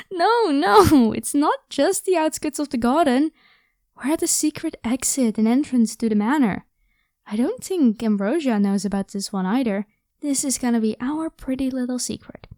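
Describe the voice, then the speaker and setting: Casting: actress as protective, playful vampire woman, soft-toned and higher pitched, reassuring everyday delivery, for ASMR audio drama. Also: lively intonation, normal speed, no room sound, studio quality. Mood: playful, secretive. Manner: casual, encouraging, reassuring.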